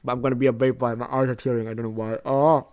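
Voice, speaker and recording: A man's voice: medium pitch, little pitch movement, quick pace, darkish tone, clear, resonant tone, no room sound. A man doing playthrough commentary, reading game text dramatically and breaking off into reactive asides. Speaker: gaming YouTuber. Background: game music.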